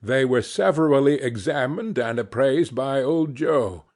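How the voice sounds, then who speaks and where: A man narrating a classic novel as an audiobook, with slow, expressive storytelling. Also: medium pitch.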